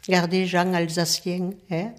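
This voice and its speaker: A woman's voice: lowish voice, flat delivery, unhurried. A woman talking with amusement, laughing between remarks.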